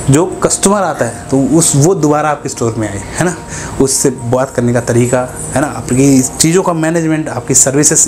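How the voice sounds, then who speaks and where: A man's voice: medium pitch, background noise. A young man talking casually to camera as a business advice YouTuber, often asking his viewers "right?" between points, recorded on a lapel mic indoors. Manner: conversational, keeps asking "है ना", gestures with both hands. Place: indoors, grocery-store backdrop.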